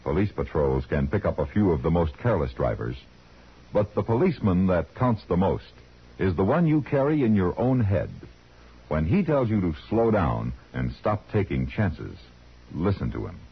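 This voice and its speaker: A man with an American accent announcing from a script on 1950s radio in a measured, formal way. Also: low-pitched, big pitch swings, breathy edge. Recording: dull vintage radio broadcast.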